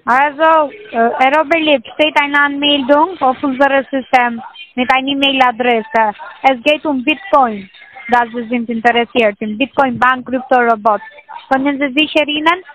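A woman calling from a call center, pushy and quick to take offense. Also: sits high, steady tone, a bit bright, lo-fi sound. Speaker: scam call-center caller.

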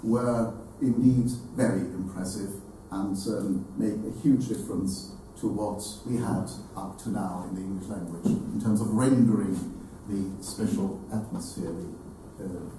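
A man's voice: sits low, steady tone, warm tone. Older man lecturing in a very echoey room. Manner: measured, halting, erudite delivery with deliberate phrasing. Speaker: speaker introducing a literary event. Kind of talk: formal introductory lecture to an audience. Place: indoor salon hall with seated audience. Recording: echoey room, distant mic, faint noise.

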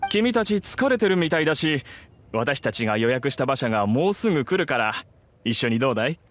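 A man's voice: medium pitch, sing-song, a little fast, natural voice. An actor playing a two-faced young male bandit who poses as a merchant in an anime game, with a slick, theatrical manner. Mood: falsely friendly. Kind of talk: anime game character acting.

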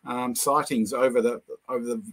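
An older man with a New Zealand accent, a guest lecturer telling anecdotes over a video call, with many pauses and fillers. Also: mid-range voice, steady tone, fairly bright tone. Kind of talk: casual chat, storytelling.

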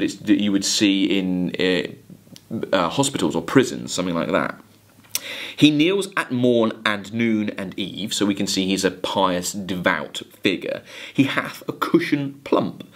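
A man in his thirties with a slightly low voice delivers a literature lecture. He moves between reading verse and commenting on it, explaining in hesitant phrases and leaning on key words.

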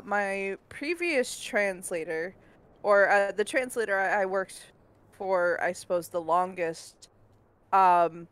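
A woman from Hawaii who works as a translator, chatting casually with a measured delivery and frequent pauses and fillers. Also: mid-range voice, clear, resonant tone, dry recording. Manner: measured, thinks aloud with many fillers. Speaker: translator and co-host. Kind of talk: casual chat.

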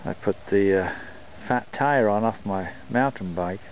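Man, low register, laid-back vlog narration outdoors, faint background ambience. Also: a little hiss or hum, rough recording. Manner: relaxed, unhurried talk with fillers. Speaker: dog owner filming a scooter run. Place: open desert outdoors.

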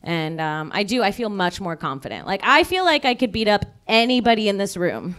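A young woman doing stand-up on a club stage into a handheld mic, dropping dark punchlines in a chatty, deadpan way. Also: medium pitch, fairly quick. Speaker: stand-up comedian. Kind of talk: stand-up comedy set. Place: comedy club stage before a live audience. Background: audience laughter.

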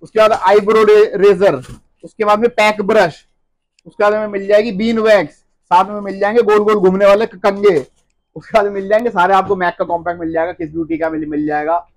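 Fast-talking man pitching wholesale prices. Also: little pitch movement, low-quality recording. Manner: rapid, pushy salesman patter, repeats words. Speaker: wholesale cosmetics shop owner. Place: cosmetics shop among stocked shelves, indoors. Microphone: handheld phone camera up close.

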